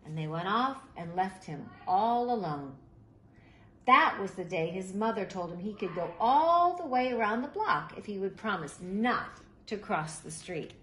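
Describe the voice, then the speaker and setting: A woman reading a children's picture book aloud in an expressive, animated way, with deliberate emphasis. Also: medium pitch. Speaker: storybook reader. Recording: roomy echo, faint background noise.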